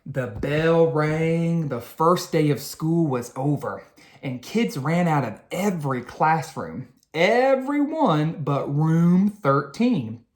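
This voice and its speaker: A woman reads a picture book aloud in a slightly low voice. Her delivery is slow and deliberate, with lots of swoops in pitch, the way a teacher tells a story to children. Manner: expressive, deliberate storytelling with drawn-out phrases.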